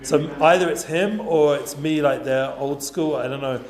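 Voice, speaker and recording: A man's voice: a little high, normal speed. A man, talking casually to a youth group with lots of fillers and asides. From North London, England. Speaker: guest speaker for students. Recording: roomy echoey room.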